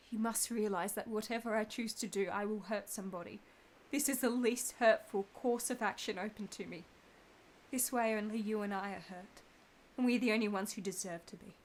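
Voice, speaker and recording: High, bright actress as passionate guilt-ridden wife. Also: female voice. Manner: theatrical, emotional, formal old-fashioned phrasing. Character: passionate guilt-ridden married woman, adulterous mother. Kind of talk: period radio drama acting.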